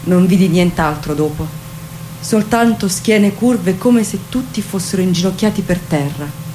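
A woman performing a theatrical monologue as an ageless, detached, proud legendary queen, in measured, deliberate fragments. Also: fairly low pitch, expressive, warm tone, a little hiss or hum. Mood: wistful. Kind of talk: theatrical dramatic monologue, slow literary recitation.

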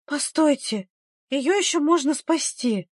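A woman's voice: high voice, expressive, moderate pace, a little mellow, slightly rough, no echo, clean studio sound, pitched above the performer's own voice. A woman voicing a caring but fiery young village midwife in an audiobook story, speaking earnestly and urgently. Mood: desperate pleading.

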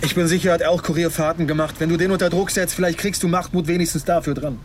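An actor playing an evasive, reckless middle-aged police detective in a German radio drama, stammering and repeating words under pressure. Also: male voice, a little high, steady tone, fast talker, lightly acted. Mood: urgent, resigned. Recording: noisy car interior ambience.

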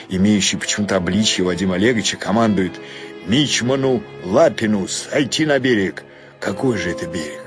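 A man narrating a dramatized literary story, expressive in his delivery. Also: sits low.

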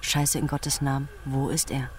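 A woman's voice: rumbling low, little pitch movement, moderate pace, very noisy. An actress playing an anguished woman waiting for news of her man in a literary radio drama, speaking in short, stark phrases.

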